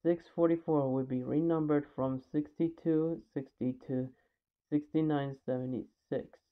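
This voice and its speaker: A man's voice: on the high side, fairly even intonation, a little slow. Man narrating over a tinny, phone-like recording. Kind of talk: scripted documentary narration. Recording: tinny, phone-like narrow band.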